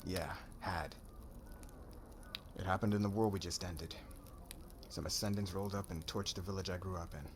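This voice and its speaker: A man's voice: deep voice, steady tone, moderate pace, long pauses, background noise, lightly acted. A man playing a cocky young warrior suitor in an ASMR roleplay, with a slangy, brash, guarded way of talking. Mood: guarded, wry.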